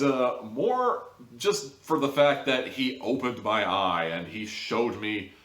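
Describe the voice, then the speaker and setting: A man with a notably low voice gives a casual, chatty review to the listener. His delivery is informal and peppered with emphatic asides. The recording sounds clearly roomy and echoey. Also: a bit bright. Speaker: host and reviewer of audio dramas.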